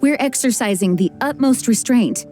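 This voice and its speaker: A woman's voice: on the high side, animated, normal speed, mellow, soft background music, close to an everyday voice. A woman playing an earnest, modest young knight commander in a video game, speaking politely and formally. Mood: flustered, formal.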